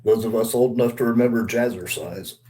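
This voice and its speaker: A man's voice: mid-range voice, fairly quick, over music. A man co-hosting a podcast in casual, informal chat, swearing freely. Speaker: podcast co-host.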